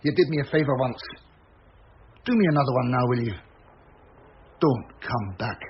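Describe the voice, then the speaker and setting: An actor playing an embittered, defiant pub landlord in a British radio drama, blunt and sarcastic. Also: male voice, medium pitch, animated, normal speed, natural voice. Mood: angry, weary. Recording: muffled radio recording, faint noise.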